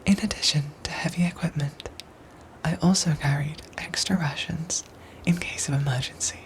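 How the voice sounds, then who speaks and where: A woman voicing a gentle, dutiful android soldier in an ASMR roleplay, with soft breathy whispers and formal, measured phrasing. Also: low voice, lightly acted, rough recording. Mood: matter-of-fact. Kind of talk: ASMR roleplay audio drama.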